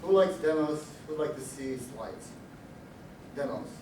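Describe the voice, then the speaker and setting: Romanian-accented male presenter, halting, filler-heavy delivery, recorded from afar in a noisy hall. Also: fairly high pitch, lively intonation, dark tone. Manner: halting, many "uh" fillers, restarts. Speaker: conference speaker. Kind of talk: technical conference talk. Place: large echoey conference room. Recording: echoey room audio, distant mic, background noise.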